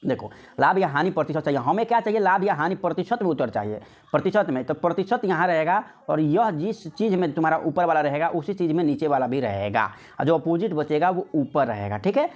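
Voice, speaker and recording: A man's voice: on the high side, animated, fairly quick. A man teaching an informal exam-prep maths lesson step by step, often repeating himself and answering his own questions. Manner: repetitive, asks questions and answers them himself.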